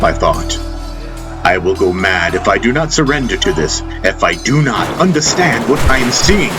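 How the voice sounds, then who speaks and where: A man narrating a fantasy audiobook in a solemn, reverent, deliberate dramatic style, as a first-person storyteller. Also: lowish voice. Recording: narration over a music soundtrack. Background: prominent music.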